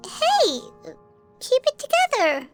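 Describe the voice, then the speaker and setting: Tiny game fairy, extremely high squeaky voice, wildly swooping, cheeky, loud music behind. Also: female voice, wildly swooping pitch, slow, heavily acted. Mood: concerned. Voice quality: squeaky, childlike. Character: tiny floating childlike fairy companion, boastful, cheeky. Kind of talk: anime-style video game voice acting.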